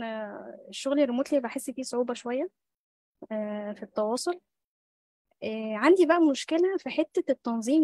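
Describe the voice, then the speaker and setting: A young Egyptian woman explains her work problems at great speed, padding her talk with fillers. Her voice is a little high. It sounds dry and close, with no room around it. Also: dry recording. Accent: Egyptian Arabic. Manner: rambling with many fillers. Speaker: SEO specialist asking for help.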